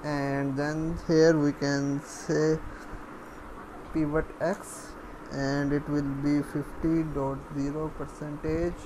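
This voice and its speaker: A man giving a step-by-step screencast programming lesson in a halting way, as if thinking aloud while typing. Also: fairly high pitch, level tone, noisy background. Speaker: programming tutorial instructor. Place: voice-over recorded over screen capture.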